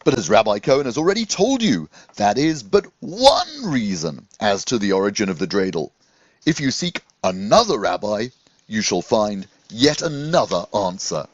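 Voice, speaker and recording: A man's voice: lowish voice, sing-song, moderate pace, no room sound, highs cut off. A man voicing a cheerful, welcoming rabbi in a children's storybook, teaching keenly with an encouraging manner. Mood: encouraging, explaining.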